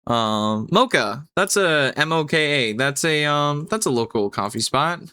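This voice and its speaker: A man's voice: a little high, lively intonation, a little slow, pushed and bright, clean tone. A man running a tabletop game as game master, improvising rulings in an explanatory way with some searching for words.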